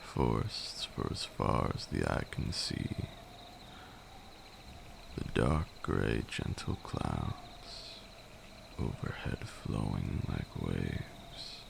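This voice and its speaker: A man's voice: very animated, noisy background, exaggerated voice. A man playing an ancient, brooding vampire lord in an intimate ASMR roleplay, seductive and menacing, with an archaic, commanding way of talking. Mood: calm, brooding. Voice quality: deep gravelly voice, breathy at times. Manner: archaic, deliberate, teasing and commanding.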